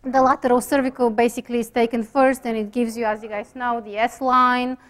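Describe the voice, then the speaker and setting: A woman with a Bulgarian accent giving an informal lecture to students as a chiropractic radiologist, pausing often with fillers and restarts. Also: fairly high pitch, flat delivery. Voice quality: hesitant, many fillers and restarts. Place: lecture room.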